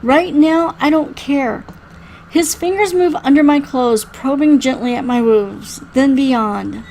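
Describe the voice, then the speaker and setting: A woman reading a first-person story aloud in an expressive, dramatic way. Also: high voice, slow, slight background noise, rough recording. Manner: expressive, acts out the dialogue. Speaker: reader playing through a visual novel.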